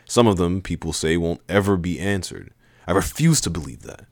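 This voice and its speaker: An earnest, excitable hero of an audio tale, played by a male reader. He is expressive and emphatic, quick to get exasperated, in a dry recording. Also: low-pitched, no room sound, close to an everyday voice. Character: earnest, excitable, easily exasperated first-person story hero.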